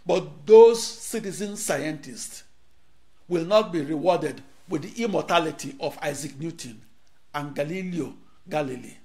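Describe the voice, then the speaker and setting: A middle-aged man from Nigeria, a native Igbo speaker, giving a rehearsed lecture in short declaimed phrases with dramatic pauses, filmed in a studio on a lapel mic. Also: on the high side, warm tone.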